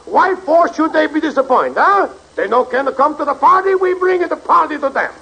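A man playing an excitable, warm-hearted Italian immigrant cook with broken English and an Italian accent, in 1940s radio comedy style. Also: extremely high pitch, moderate pace, vocal fry, heavily acted. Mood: eager, indignant. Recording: noisy old radio broadcast recording.

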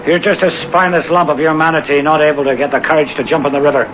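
An actor playing a gruff but kindly beat policeman, blunt and lecturing, in old radio drama style. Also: fairly high pitch, fairly even intonation, racing, natural voice. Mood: stern, scornful. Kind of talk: 1940s-50s radio drama acting. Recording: noisy, muffled old radio recording.

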